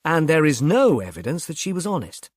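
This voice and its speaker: A man voicing a polite, urbane police inspector in a storybook, with a measured, dry manner. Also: on the high side, big pitch swings, no room sound, voice pushed up.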